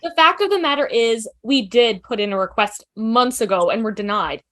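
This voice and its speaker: A woman's voice: on the high side, expressive, normal speed, fairly bright tone. A woman playing an outspoken, nervy young student who rambles emphatically and theatrically in a drama table read. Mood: exasperated.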